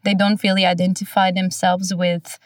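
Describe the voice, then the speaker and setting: A woman's voice: mid-range voice, flat delivery, moderate pace, no echo, far from the mic. A young woman from Portugal hosting a casual podcast chat in a studio, friendly and hesitant with many fillers. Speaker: podcast host.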